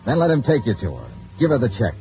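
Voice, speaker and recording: A shrewd, hard-boiled investigator from a 1950s radio noir, at a middle pitch. He talks in a terse, insistent, plain way. The vintage recording is muffled, with some music behind it. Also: wildly swooping pitch, fast. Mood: dry narration. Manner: terse, insistent, matter-of-fact.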